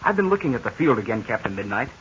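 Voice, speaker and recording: An eager young sidekick, earnest and anxious, his voice bright and clear. It plays on a dull old radio transcription with a faint hiss under it. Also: medium pitch, big pitch swings, rapid-fire. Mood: worried. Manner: earnest, worried, boyish exclamations. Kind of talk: 1940s radio acting.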